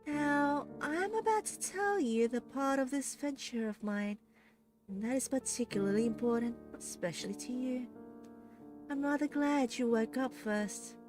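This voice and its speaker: Brief: menacing, mocking villainess in an audio story, slow teasing delivery, pitched a little up, voiced by a woman narrator. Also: music in the background, close to an everyday voice. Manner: obsessive, flippant, coldly teasing.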